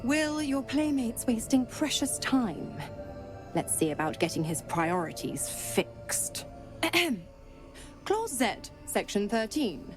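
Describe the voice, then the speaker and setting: A woman's voice: on the high side, very animated, takes it slowly, music in the background. A woman playing a mocking, imperious fiendish patron in a video game, drawing out her words theatrically. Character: taunting fiendish she-devil patron, silky and imperious.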